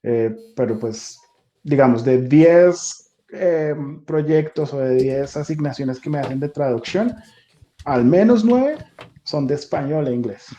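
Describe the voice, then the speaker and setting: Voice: mid-range voice, a little slow, dark tone. A host with a Bogotá accent moderating a class discussion over a video call, passing questions between speakers with joking asides. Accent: Bogotá Spanish.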